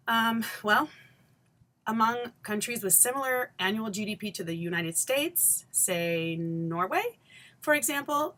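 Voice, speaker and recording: A woman from Haiti, likely in her forties, delivering a scripted personal essay to camera in a measured, emphatic, pointed way with deliberate pauses. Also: mid-range voice, fairly bright tone. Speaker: vlogger and education scholar.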